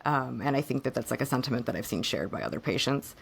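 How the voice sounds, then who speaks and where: A hoarse woman co-hosting a podcast, chatting casually and haltingly about personal matters, her voice fading a bit. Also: rumbling low, animated. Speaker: podcast co-host.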